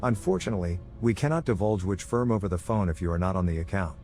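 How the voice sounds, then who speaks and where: A man's voice: low-pitched, lively intonation, fast talker, darkish tone. Man as a young female phone clerk, polite, apologetic, easily flustered, rehearsed customer-service manner, horror storytelling, music underneath.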